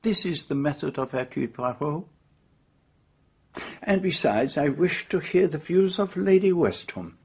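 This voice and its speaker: An actor playing a courteous, methodical Belgian detective with a French-speaking accent, questioning precisely in a radio drama. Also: male voice, fairly high pitch, expressive, measured pace, vocal fry, slightly rough, heavily acted. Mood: courteous inquiry. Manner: polite, precise, probing questioning.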